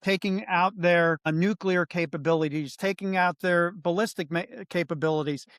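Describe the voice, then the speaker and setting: A middle-aged man co-hosting a political podcast, talking in a measured, thoughtful way with frequent restarts. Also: high voice, steady tone, fairly bright tone, dry recording, studio quality. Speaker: podcast co-host. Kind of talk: political commentary.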